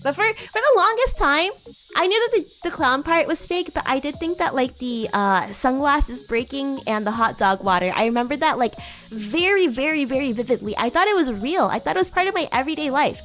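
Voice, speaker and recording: A woman with a high, girlish voice and very lively intonation. She chats casually as a livestreamer, rambling along conversationally over background music. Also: a little fast. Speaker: VTuber streamer.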